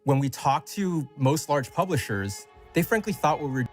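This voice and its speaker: A man's voice: fairly high pitch, lively intonation, moderate pace, faint music behind. A man delivering a scripted announcement as a company co-founder addressing a community.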